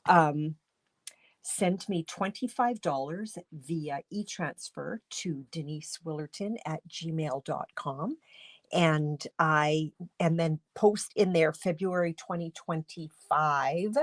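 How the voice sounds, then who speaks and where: A woman from Canada hosting a casual craft livestream from her home studio, congested with a cold, chatty and rambling, often clearing her throat. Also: low-pitched, animated, takes it slowly, dry recording. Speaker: livestream host and stamping demonstrator.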